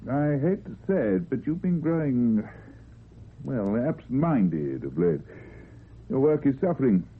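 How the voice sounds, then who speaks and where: An actor playing a stern, fussy office boss with a measured, condescending formality in a radio drama. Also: male voice, mid-range voice, very animated, breathy edge. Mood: probing. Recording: thin, narrow old radio recording.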